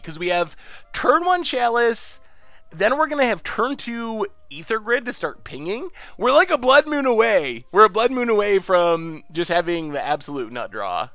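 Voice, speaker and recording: A man streaming and commenting on a card game in a casual, excitable way, laughing often, his voice a little congested. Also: high-pitched, no room sound.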